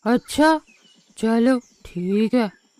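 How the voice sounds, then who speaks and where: A woman's voice: on the high side, animated, extremely slow, darkish tone, no echo. A woman voicing a clever, cool-headed male rooster in a children's cartoon story, teasing with mock innocence. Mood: cool, sly. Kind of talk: children's animated moral story acting.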